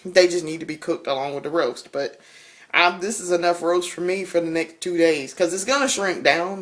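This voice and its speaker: A woman's voice: low-pitched, a bit bright. A young woman from South Carolina with a Southern drawl, chatting casually in her kitchen while she cooks, laughing often and swearing freely. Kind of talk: casual vlog chat while cooking. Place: home kitchen.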